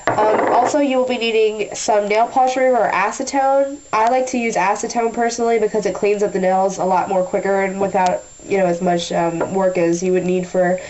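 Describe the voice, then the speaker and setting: A young woman talking through a nail tutorial in a chatty way, a little nasal from a sinus infection, often clearing her throat. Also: mid-range voice, fairly even intonation, a little fast, darkish tone, poor audio. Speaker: beauty vlogger. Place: small room at a table.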